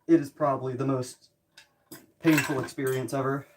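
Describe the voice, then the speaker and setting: A man's voice: medium pitch, flat delivery, normal speed. A man livestreaming a hands-on build, muttering to himself and chatting casually with a wry, self-deprecating manner. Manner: muttering to himself while working. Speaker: streamer building DIY projects. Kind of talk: casual livestream chat while working. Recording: distant mic with background noise, clicking.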